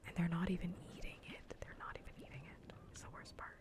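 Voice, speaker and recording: A woman whispering softly and breathily in an ASMR gaming stream, playfully bossy and dryly sarcastic, close to the microphone. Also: fairly low pitch, steady tone, quick pace. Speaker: livestreamer.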